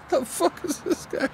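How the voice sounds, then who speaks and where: A man's voice: noisy background, lightly acted. A man playing a cocky, foul-mouthed, slangy ambulance driver in an amateur horror audio drama. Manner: slangy, swearing, improvised-sounding.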